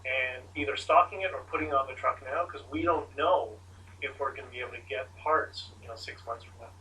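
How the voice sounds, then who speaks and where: Man at a press conference: bright, emphatic, caught off-mic. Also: medium pitch, brisk, distant mic, rough recording. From Canada. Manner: emphatic, animated storytelling. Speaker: convoy organizer answering press questions.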